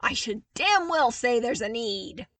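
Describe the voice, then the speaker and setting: A woman voicing an incredulous, hot-tempered young military man in an audiobook, with emphatic, drawn-out words. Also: on the high side, big pitch swings, a bit bright, dry recording, recorded from a distance. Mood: heated. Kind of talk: audiobook character dialogue.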